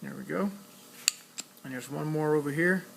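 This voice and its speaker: A man's voice: on the high side, normal speed, creaky, background noise. A man giving a casual hands-on tutorial, talking plainly step by step as he works at a repair task. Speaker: electronics hobbyist demonstrating a repair.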